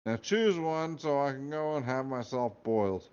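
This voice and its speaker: A man voicing a rude male magical talking hat, high and loud in a rough stab at a Scottish voice. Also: animated, unhurried. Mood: mocking. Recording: dull lo-fi recording.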